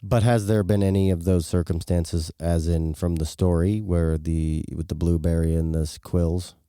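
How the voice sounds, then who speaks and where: A man's voice: sits low, steady tone, moderate pace, warm tone, clear, resonant tone, no echo, clean studio sound. A man co-hosting a podcast, reacting casually with laid-back, jokey interjections. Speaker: podcast co-host.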